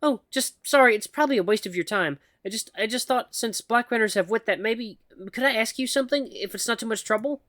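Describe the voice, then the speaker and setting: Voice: medium pitch, brisk, hardly any pauses, fairly bright tone, no echo, studio quality, voice pushed up, natural voice. A game reader voicing a nervous young mouse woman who stammers and apologizes. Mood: anxious.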